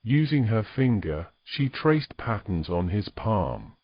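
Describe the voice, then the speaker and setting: A man's voice: lowish voice, very animated, takes it slowly, no room sound, muffled recording. A man reading scripted narration for a romantic fantasy story as its narrator.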